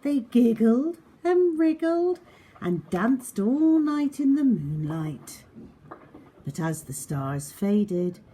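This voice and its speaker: A woman reading a children's story as narrator, dramatic and expressive, often dropping into a hushed tone. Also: on the high side, slow, husky.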